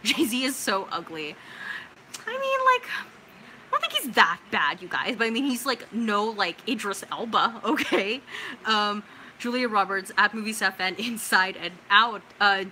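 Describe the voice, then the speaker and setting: A young American woman from California hosting a casual livestream, reading out viewer comments and reacting in an informal, expressive, slangy way. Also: medium pitch, a little hiss or hum, low-quality recording. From California, United States. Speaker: livestream host.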